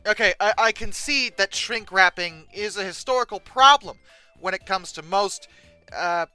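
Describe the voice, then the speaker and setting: Humorous commentator, bright voice, stammering delivery, exaggerated exasperation, faint music underneath. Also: mid-range voice, normal speed, clean tone, no room sound. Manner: hesitant, stammering, with theatrical exasperated emphasis. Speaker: YouTube host. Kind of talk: humorous unscripted commentary on pictures.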